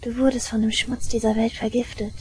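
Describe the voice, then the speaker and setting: Casting: actress as yandere shrine maiden girl, highish voice, intense and unhinged, audio drama with noisy background. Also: little pitch movement, lightly acted. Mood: hushed, resolute. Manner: intense, deliberate, swinging between hushed and frenzied.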